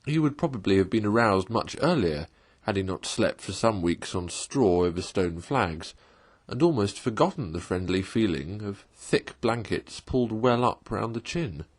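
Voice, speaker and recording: A man reading a classic children's story aloud as an expressive, literary narrator. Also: fairly low pitch, moderate pace.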